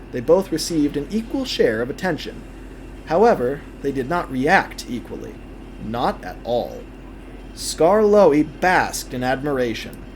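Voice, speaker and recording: A man narrating a scripted audio drama as a storyteller, with theatrical, deliberate phrasing. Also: medium pitch, slight background noise, rough recording.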